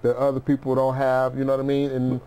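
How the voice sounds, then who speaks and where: A man's voice: mid-range voice, completely flat delivery, fast talker, mellow, slight background noise. A middle-aged man speaking as an interview guest, chatting casually and informally with slangy storytelling. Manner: informal, slangy storytelling, often says you know what I mean. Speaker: interview guest, music producer. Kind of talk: casual chat.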